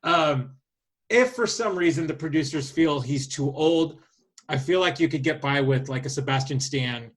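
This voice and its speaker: A man co-hosting a casual podcast, speaking in a halting, hesitant way. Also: a little high. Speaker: podcast co-host.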